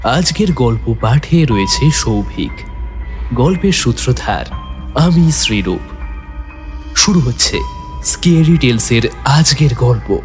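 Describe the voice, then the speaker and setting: A man introduces an audio story series in a scripted presenter style. His pitch is medium and music plays behind him. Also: lively intonation, slow, pauses often. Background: background music.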